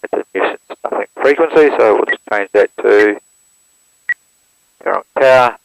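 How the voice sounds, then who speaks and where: A man, walking through how to operate an aircraft radio step by step in a plain, steady manner, recorded through an intercom headset. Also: fairly low pitch, moderate pace, gravelly, smooth, no room sound, poor audio. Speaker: instructor demonstrating an aircraft radio. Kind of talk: hands-on equipment walkthrough.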